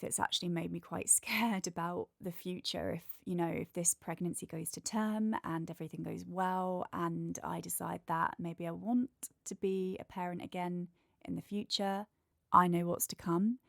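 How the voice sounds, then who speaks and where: A woman's voice: lowish voice, vocal fry, dry recording, studio quality. A congested woman with a nasal voice, hosting a candid, chatty solo podcast and working through a numbered list. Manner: candid, chatty, lists points one by one. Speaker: podcast host. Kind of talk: casual solo podcast monologue.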